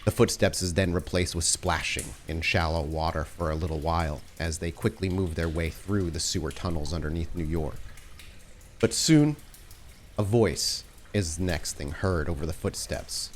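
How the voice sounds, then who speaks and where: A man with a low voice runs a tabletop game as its storyteller. He describes each scene in measured, atmospheric detail. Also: slight background noise. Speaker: game master.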